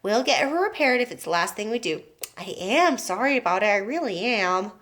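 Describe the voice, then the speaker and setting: A woman's voice: medium pitch, big pitch swings, fairly quick, fairly bright tone. A woman voicing an eccentric male candy maker who sighs and frets. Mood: brisk. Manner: fretful, sighing, apologetic.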